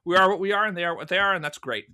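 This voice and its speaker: Bright-voiced man, lightly high-pitched, podcast guest. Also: very fast, clean tone. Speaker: interview guest, audio drama producer. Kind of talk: casual podcast conversation.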